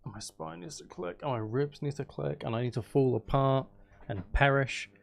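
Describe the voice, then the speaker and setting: A man's voice: mid-range voice, normal speed. A man with a British accent chatting casually and informally as he thinks aloud during a gaming livestream. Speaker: streamer. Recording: clear background noise with clicking.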